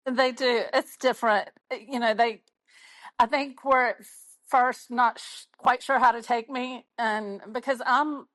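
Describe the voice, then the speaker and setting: A woman's voice: fairly high pitch, steady tone, measured pace, fairly bright tone, no room sound. A woman with a Southern US accent from Mississippi, chatting easily as a podcast guest and laughing often. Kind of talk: casual interview chat.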